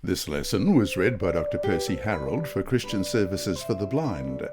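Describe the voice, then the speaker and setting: A man reading a scripted devotional lesson and scripture in deliberate phrases with emphatic pauses. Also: low voice, big pitch swings, over loud music. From Australia. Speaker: reader of devotional Bible lessons.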